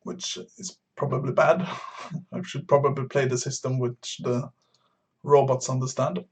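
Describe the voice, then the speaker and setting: A man from Sweden, streaming a bridge game and explaining his moves to beginners while thinking aloud with frequent pauses and fillers. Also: medium pitch, steady tone. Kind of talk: live-stream commentary explaining card play.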